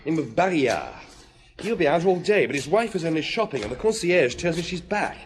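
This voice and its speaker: A brisk, dutiful police detective. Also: male voice, on the high side, sing-song. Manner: brisk reporting. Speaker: police inspector. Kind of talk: radio drama acting.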